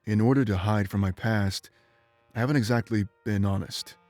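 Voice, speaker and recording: A man playing a calm, reformed former inquisitor in a fantasy game, speaking in a measured, apologetic way. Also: fairly low pitch, soft background music, close to an everyday voice. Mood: calm, contrite. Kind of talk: visual novel character voice acting.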